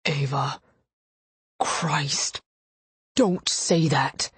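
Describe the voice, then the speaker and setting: Woman as a brooding romance hero, low and rough-throated, halting, anguished, pleading, close dry sound. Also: steady tone, a little mellow, no room sound, exaggerated voice. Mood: tense, confessing. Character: anguished gruff man, desperate brooding lover. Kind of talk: audiobook romance dialogue.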